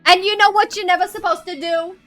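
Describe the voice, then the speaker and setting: A woman's voice: very high voice, steady tone, quick pace, sharp tone, faint music behind. A woman playing a brash, exasperated nagging mom with a nasal New York accent, combative and repetitive. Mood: aggrieved. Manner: combative, repetitive, swearing, mock-offended.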